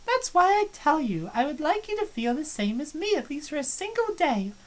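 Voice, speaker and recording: A woman's voice: high voice, very animated, normal speed. A woman voicing a breezy, self-assured young woman. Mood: cheerful, self-assured. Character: confident, cheerful large young woman. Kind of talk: fan voice-over of a visual novel.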